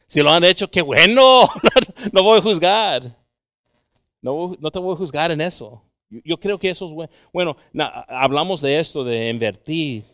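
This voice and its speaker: Mexican American pastor preaching bilingually. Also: male voice, medium pitch, a bit bright, no room sound, studio quality. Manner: switches between English and Spanish, joking with the congregation. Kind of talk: bilingual sermon.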